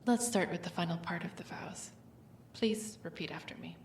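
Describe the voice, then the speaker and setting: Royal wedding officiant voiced by a woman, calm ceremonial phrasing, far from the mic with faint noise. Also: lowish voice, reverberant, close to an everyday voice. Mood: solemn. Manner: formal, measured phrases. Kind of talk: audio roleplay drama acting.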